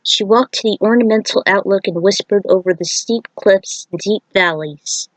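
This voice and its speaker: A woman narrates her own fan-made story series at a middling pitch. The recording is dry and close, with very little room sound, and the delivery is that of a storyteller reading from a script. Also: normal speed, no echo, rough recording. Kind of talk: scripted narration with casual outro remarks.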